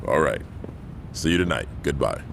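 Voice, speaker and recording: An actor playing a commanding, aging gang boss who talks in a slow, deliberate, menacing way in a video game cutscene. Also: male voice, very deep voice, expressive, vocal fry, background noise, full character voice. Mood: placating.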